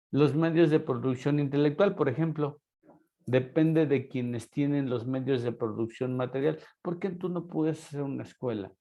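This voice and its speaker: A man's voice: mid-range voice, warm tone. A man with a Mexican accent, lecturing a class and asking rhetorical questions. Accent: Mexican Spanish. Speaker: teacher.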